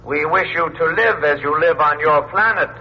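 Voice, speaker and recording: An actor playing a courteous but imperious disembodied alien in a vintage radio drama, with formal, measured, pedantic diction. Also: male voice, a little high, husky. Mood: formal, controlling. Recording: dull, noisy old radio recording.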